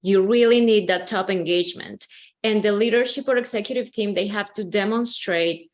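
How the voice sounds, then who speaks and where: Colombian-born woman consultant explaining steps. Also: mid-range voice. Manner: measured, explanatory, frequent um fillers. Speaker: consultant, company CEO. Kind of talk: webinar presentation.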